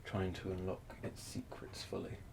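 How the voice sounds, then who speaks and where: A man playing a calm, scholarly sorcerer mentor in an ASMR fantasy roleplay, speaking softly and gently in measured, near-whispered phrases. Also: heavy background noise, close to an everyday voice. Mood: musing, gentle. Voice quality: soft, breathy, often near whisper. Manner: slow, measured, gentle, explanatory. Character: calm scholarly male sorcerer mentor showing magical relics.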